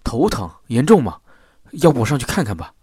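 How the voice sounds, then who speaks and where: A man playing a gruff, protective young man in love in an audio drama, with a husky voice. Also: medium pitch, very animated, a little fast, warm tone, no room sound, clean studio sound. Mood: urgent, ardent.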